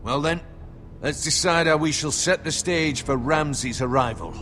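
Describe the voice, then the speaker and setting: A man playing a cautious knight and advisor who speaks in a measured, persuasive way. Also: on the high side, pushed and bright, slight background noise. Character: shrewd cautious male advisor, sworn knight.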